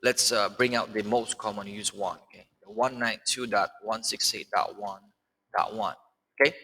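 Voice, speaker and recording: A man giving an informal step-by-step tutorial as an online instructor, phrasing things haltingly and often checking in with short tags and questions. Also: on the low side, steady tone, bright, forceful tone, husky. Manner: hesitant phrasing with frequent "okay" check-ins and rhetorical questions. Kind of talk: informal screen-share tutorial, explaining step by step.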